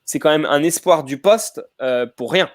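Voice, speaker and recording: A man's voice: a little high, moderate pace. A man talking casually on a podcast, thinking aloud with lots of fillers and restarts. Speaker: podcast panelist.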